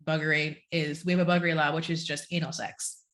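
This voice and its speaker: A woman's voice: deep voice, flat delivery, fast talker, fairly bright tone. A woman from Jamaica, talking frankly and wryly in a casual conversation. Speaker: podcast guest.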